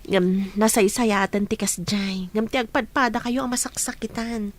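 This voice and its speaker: A woman's voice: medium pitch, steady tone, normal speed, natural voice. An actress playing a kind, discreet young woman in a radio drama, earnest and solicitous. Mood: consoling.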